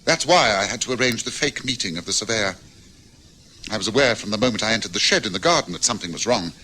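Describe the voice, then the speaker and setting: A man playing an astute detective in a radio drama, speaking in a measured, reflective, precise manner. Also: fairly low pitch, animated, bright, forceful tone, poor audio. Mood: reflective, regretful. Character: astute, composed male detective summing up a case. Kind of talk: old-time radio drama acting.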